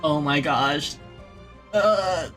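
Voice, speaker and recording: A man doing excitable let's play commentary as a gaming streamer, chatty and gushing like a fan. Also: fairly high pitch, drawn-out words, over music.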